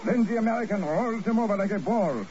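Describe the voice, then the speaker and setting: An actor playing a jovial, effusive French casino assistant manager, a former bouncer, with a French accent in 1950s radio drama style. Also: male voice, high voice, animated, quick pace, darkish tone, slight background noise. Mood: gleeful, relishing. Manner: effusive, relishing, courteous. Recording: muffled old radio recording, crowd murmur.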